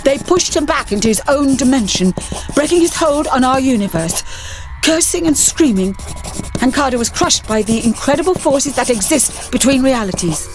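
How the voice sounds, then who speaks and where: A woman's voice: sits high, lively intonation, moderate pace, soft background music, pitched above the performer's own voice, rough recording. A woman voicing a brave but exhausted young female storyteller, declaiming with effort in an audio drama reading. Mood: fierce determination.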